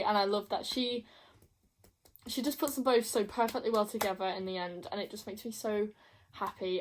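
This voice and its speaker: A young woman chatting casually as a book vlogger, emphatic and gushing, often repeating herself. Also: medium pitch. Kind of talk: casual chat to camera.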